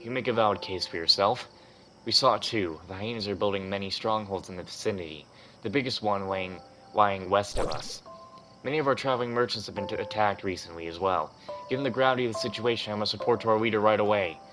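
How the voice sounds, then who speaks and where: A man's voice: fairly low pitch, fast talker, over music, natural voice, poor audio. A man voicing a stern, suspicious game guard who speaks formally and with command. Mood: formal, serious.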